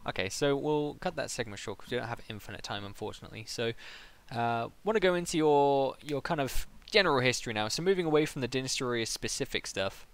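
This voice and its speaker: A man's voice: medium pitch, animated, fast, hardly any pauses, clean tone. A man hosting a casual livestreamed interview, putting questions to his guest in a conversational style.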